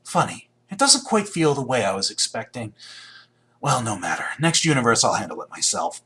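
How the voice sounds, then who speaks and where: A man's voice: mid-range voice, huge pitch swings, normal speed, sharp tone. An actor playing a cool, combative, unimpressed man in a sci-fi video game, with a dismissive, confrontational delivery. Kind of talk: dramatic sci-fi game dialogue.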